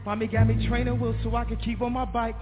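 A man's voice: extremely high pitch, flat delivery, quick pace. A man rapping and singing a tribute song in a melodic style. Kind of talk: sung and rapped tribute song. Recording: lo-fi song playback with loud music.

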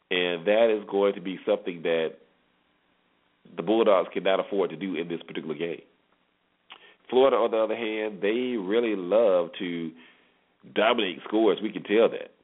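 A man hosting a solo sports show, giving informal commentary and repeating phrases for emphasis. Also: on the low side. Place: solo internet radio show. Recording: muffled phone-like internet radio audio.

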